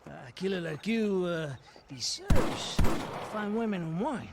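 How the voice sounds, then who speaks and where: An actor playing a smarmy, menacing Mexican army captain in a video game, with a Mexican accent and an oily, mocking manner. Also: male voice, high-pitched, very animated, unhurried, heavy background noise, full character voice. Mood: jovial. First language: Spanish. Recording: music behind the voice.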